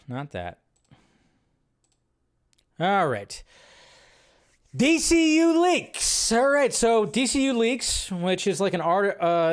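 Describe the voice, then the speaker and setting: A man hosts a live movie news stream in a loose, chatty ramble. His voice sits a little high for a man, and he keeps stringing thoughts together with fillers and restarts. It sounds like a desk mic at a streaming setup. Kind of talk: casual live-stream chat.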